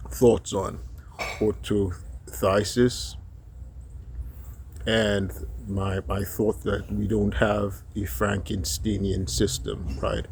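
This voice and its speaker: A man from Nigeria giving an unscripted solo commentary, halting often with fillers and restarts and tagging points with a questioning "right?". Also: deep voice, a little mellow, slight background noise. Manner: frequent rhetorical "right?" tags.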